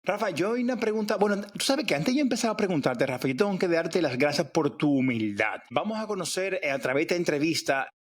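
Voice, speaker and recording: A man's voice: on the high side, animated, quick pace. A man hosting a podcast interview, introducing a guest in an enthusiastic, effusive way. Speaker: podcast host.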